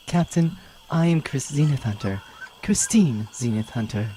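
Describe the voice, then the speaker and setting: A woman's voice: sits low, big pitch swings, takes it slowly, warm tone, slight background noise, full character voice, low-quality recording. A woman playing a poised, earnest xenoarchaeologist, polite and formal, in a sci-fi audio drama.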